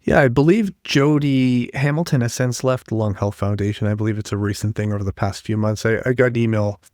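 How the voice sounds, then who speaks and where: A man's voice: on the low side, brisk, darkish tone, no echo, studio quality. A man hosting an interview podcast, friendly and conversational, reading out resource details between questions. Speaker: podcast host. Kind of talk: interview hosting with scripted info segments.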